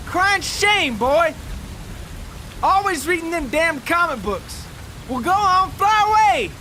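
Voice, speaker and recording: A man performs a harsh father in an audio story, his voice very high and forceful. He is scornful and commanding, drawing out his taunts slowly, with rain noise around him. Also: very animated, full character voice. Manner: loud, taunting, barking orders. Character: harsh, scornful, foul-mouthed father of a young boy.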